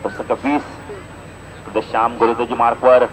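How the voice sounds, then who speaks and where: A man giving live play-by-play cricket commentary in an animated announcer's delivery, with punchy stock phrases. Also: medium pitch, moderate pace, rough recording. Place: outdoor cricket ground. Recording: outdoor event PA with crowd noise. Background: crowd and outdoor event noise.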